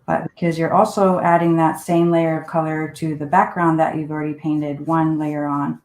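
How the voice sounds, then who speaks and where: Woman from finland chatting thru a painting demo at her desk, kinda low voice, echoey room. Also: little pitch movement, moderate pace, a little mellow. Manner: casual, chatty, thinking aloud while painting. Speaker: art teacher. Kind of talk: live painting demonstration. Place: home desk. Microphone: live online class setup. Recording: roomy echo.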